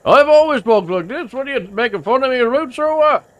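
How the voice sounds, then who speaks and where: A man doing a high, touchy roughneck. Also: lively intonation, fairly quick, fairly bright tone. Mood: defensive, indignant. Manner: blustering, swears constantly, tacks "boy" on every line. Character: touchy rough-spoken rural Newfoundland man. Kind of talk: comedy sketch acting.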